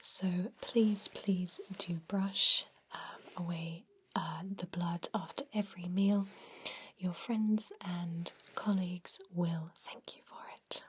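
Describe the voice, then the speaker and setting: Slow whispering woman, ASMR doctor roleplay. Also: on the low side, fairly even intonation. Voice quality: breathy whisper. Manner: gentle, unhurried, explaining to a patient. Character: kindly female vampire doctor examining a new patient. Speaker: ASMR roleplay performer, playing a vampire doctor.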